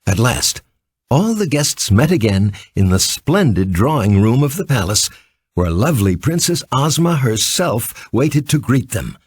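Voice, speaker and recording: A male storybook narrator with a very animated pitch range. His voice is a little husky and is recorded dry and close. He reads scripted children's prose expressively and theatrically. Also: measured pace, no echo.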